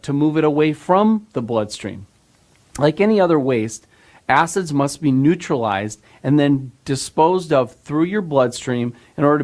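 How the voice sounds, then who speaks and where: A man's voice: medium pitch, darkish tone. A man speaking as a health expert, explaining things in an informal, step-by-step way.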